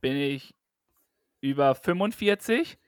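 A man with a slightly raised voice speaks slowly and easily in a casual podcast guessing game. He teases his partner and laughs often. The recording is dry and close, with no room sound. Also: fairly high pitch, lively intonation, clear, resonant tone, no room sound. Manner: slow, teasing, laughs often. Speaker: podcast co-host.

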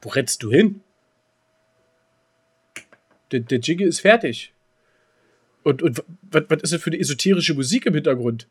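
Very fast-talking man with a husky voice. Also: on the high side, animated. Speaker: podcast co-host. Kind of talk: casual podcast banter.

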